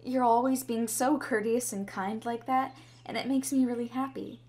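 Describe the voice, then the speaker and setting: A woman's voice: fairly high pitch, steady tone, moderate pace, a little mellow, close to an everyday voice. A woman playing a shy, sweet schoolgirl with a crush in an ASMR roleplay, speaking in a bashful, hesitant, rambling way. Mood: bashful, affectionate. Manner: bashful, hesitant, chatty, giggly. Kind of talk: ASMR roleplay, scripted to the listener. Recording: clearly audible background ambience noise.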